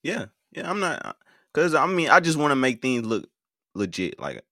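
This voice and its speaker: A man's voice: medium pitch, big pitch swings, moderate pace, fairly bright tone, no room sound, clean studio sound. An American man hosting a live call-in show in a casual, hesitant way, often repeating his words. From United States. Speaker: podcast game show host.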